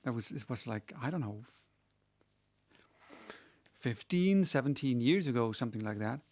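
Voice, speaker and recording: A middle-aged man casually telling a story, rambling with many restarts and getting animated when he retells a conversation. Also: mid-range voice, moderate pace. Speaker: audiobook reader answering questions. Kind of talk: casual monologue.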